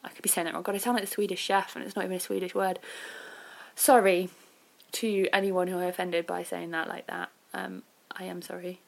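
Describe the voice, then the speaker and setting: A woman hosting a podcast as an informal, reflective solo chat, with hedging asides and a creaky voice. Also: fairly low pitch, flat delivery, brisk. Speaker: podcast host.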